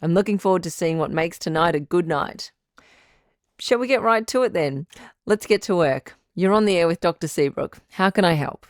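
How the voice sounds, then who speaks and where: Woman playing a composed, low-pitched radio advice doctor. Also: no echo, studio quality, lightly acted. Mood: welcoming, attentive. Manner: measured, counselling, firm. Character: composed, frank female relationship doctor hosting radio. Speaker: radio advice show host. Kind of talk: scripted radio drama call-in show.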